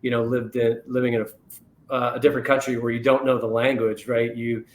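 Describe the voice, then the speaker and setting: A man's voice: flat delivery, brisk. A man hosting an interview as company chief, speaking loosely with many fillers. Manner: filler-heavy, loose phrasing. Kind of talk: hosted interview. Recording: echoey room with faint rumble.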